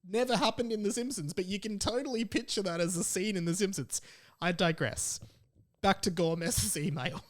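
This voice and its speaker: A man's voice: sits high, normal speed. A young adult man with an Australian accent, hosting a casual podcast in a rambling, jokey way. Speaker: podcast host. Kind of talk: casual solo podcast chat.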